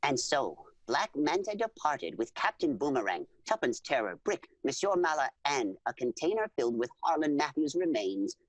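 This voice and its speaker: A woman playing an eager alien storyteller who narrates adventure formally and dramatically, with a husky voice and spoken sound effects. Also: deep voice, sing-song, normal speed, few pauses, dry recording. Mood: dramatic. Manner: formal, repeats full names instead of pronouns.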